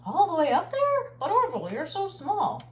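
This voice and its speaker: A woman's voice: very high-pitched, very animated, normal speed, far from the mic, muffled recording, voice pushed up. A woman voicing a worried, doubtful zoo animal in a children's storybook, questioning a little friend. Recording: roomy echo, faint background noise.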